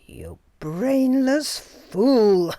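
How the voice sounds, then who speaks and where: A woman's voice: on the low side, expressive, normal speed, darkish tone, dry recording, lightly acted. Snarling hissing villain lady, menacing, read by a woman. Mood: furious.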